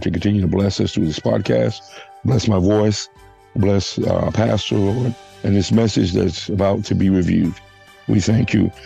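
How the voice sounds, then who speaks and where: A man hosting a church podcast, hoarse from a flu, making announcements and leading a prayer with halting restarts. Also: fairly low pitch, warm tone. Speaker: podcast host. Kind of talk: casual church podcast chat.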